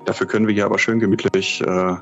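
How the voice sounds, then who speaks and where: A man co-hosting a podcast in casual talk, speaking in a measured, halting way over a remote connection. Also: fairly low pitch, level tone, dull audio, faint music behind. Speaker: podcast co-host. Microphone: remote connection, not in studio.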